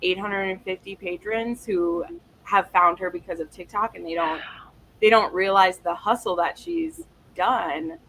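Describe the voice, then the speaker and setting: Bright-voiced, gushing woman in casual chat. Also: mid-range voice, lively intonation, measured pace, rough recording. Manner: slangy, sweary, gushing. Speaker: book livestream co-host. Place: live online video chat. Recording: faint background noise.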